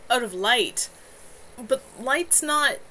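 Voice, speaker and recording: A woman reading a novel aloud, voicing an anxious, unsure teenage boy who asks halting questions. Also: on the high side, animated, sharp tone, a little hiss or hum, voice pushed up, heavily acted, poor audio. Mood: wondering.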